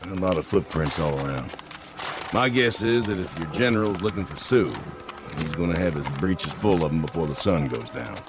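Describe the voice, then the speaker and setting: Laconic frontier scout. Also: male voice, deep voice, animated, moderate pace, music in the background. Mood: wary. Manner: laconic, dry. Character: weathered frontier army scout, dry and wary. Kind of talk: radio drama acting.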